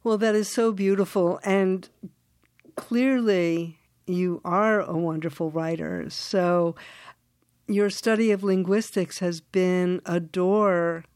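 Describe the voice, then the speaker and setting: An older woman interviewing an author, speaking slowly and searching for her words as she asks questions. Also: lowish voice, fairly even intonation, no echo, clean studio sound. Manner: slow, warm, searching for words.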